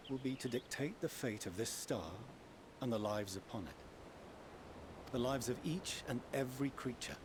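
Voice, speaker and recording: A middle-aged man playing a dignified, earnest father and leader in a game, speaking formally and with deliberate weight. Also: mid-range voice, lightly acted. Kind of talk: formal dramatic game dialogue. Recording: clear background noise.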